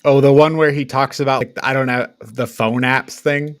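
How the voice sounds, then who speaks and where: A man's voice: medium pitch, fairly even intonation, moderate pace, dry recording, studio quality. A man hosting a podcast, chatting casually as part of a panel reviewing movies. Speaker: podcast host.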